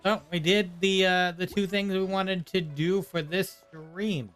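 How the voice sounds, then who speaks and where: A man with a somewhat high voice chats casually as he streams a game. His commentary is informal and reactive, with faint music behind it. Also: steady tone, measured pace, a bit bright. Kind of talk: casual live gameplay commentary.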